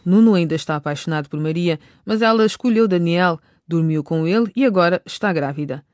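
Radio narrator, man, mid pitch, measured, dry studio sound. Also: no echo. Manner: clear, measured recap.

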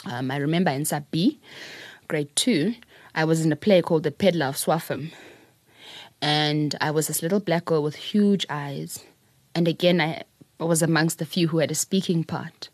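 A middle-aged South African woman, a public speaker, telling her story in an interview in an earnest, reflective way, with soft laughs. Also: deep voice, normal speed, dry recording. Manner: earnest, repeats words, laughs softly while telling stories.

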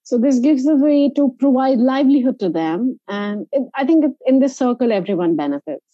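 Mellow Indian woman in a roomy space. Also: high voice, expressive, moderate pace, dull audio. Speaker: interview guest, inclusive travel organiser. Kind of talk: conversational interview answers.

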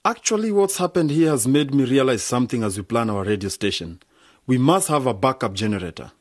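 An actor playing an eager, ambitious young man in a youth club, earnest and excitable, in a radio drama. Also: mid-range voice, fairly quick, natural voice.

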